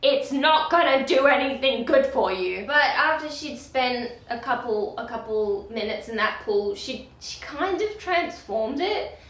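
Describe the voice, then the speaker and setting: A woman giving outspoken, emphatic commentary in a video blog, talking loudly and firmly about what she stands for. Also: high voice, lively intonation, a little slow, echoey room. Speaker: video creator. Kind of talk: opinionated commentary vlog.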